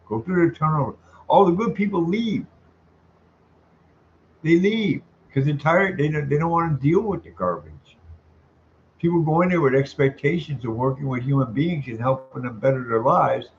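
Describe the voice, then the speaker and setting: An older man making an informal complaint call, emphatic and aggrieved, repeating himself as he rambles. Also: mid-range voice. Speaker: caller, tenant making a complaint. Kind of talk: informal phone complaint, venting. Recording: dull lo-fi sound with background noise.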